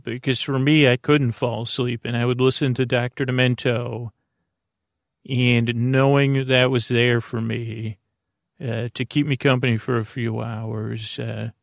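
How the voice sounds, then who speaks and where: American guy doing a sleepy-time podcast ramble, croaky fry, keeps losing his thread, very dry sound. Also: medium pitch, dry recording. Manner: meandering, halting, trailing off mid-thought. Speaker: host of a bedtime story podcast. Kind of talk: rambling bedtime monologue.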